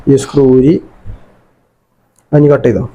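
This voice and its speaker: A man's voice: mid-range voice, moderate pace, dark tone. A man with a Kerala Malayalam accent chatting casually as he hosts an unboxing vlog, explaining things informally step by step. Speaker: vlogger. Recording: noisy amateur vlog audio with clicks.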